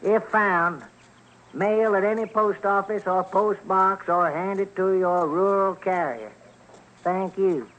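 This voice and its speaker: An actor playing a folksy country husband in a radio drama, drawling in a homespun, deliberate way. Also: male voice, high voice, muffled recording, noisy background. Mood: puzzled, plodding. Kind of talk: old-time radio documentary acting.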